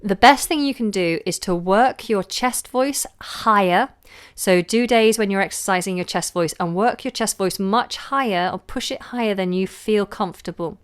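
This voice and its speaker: A woman vocal coach giving chatty live feedback, with a low voice and a lingering cold. She talks loosely, with fillers and lost words. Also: smooth. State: recovering from a cold. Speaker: singing teacher.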